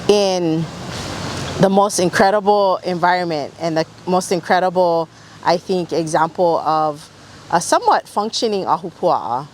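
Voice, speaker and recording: A woman with a slightly low voice explains a program in an interview, speaking as one of its organizers. A faint layer of background noise sits behind her.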